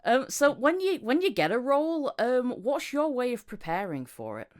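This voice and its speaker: A woman hosts a casual interview in a clean, smooth voice. She is chatty and modest about herself, talking informally as if among peers. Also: fairly high pitch, sing-song, normal speed. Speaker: podcast host.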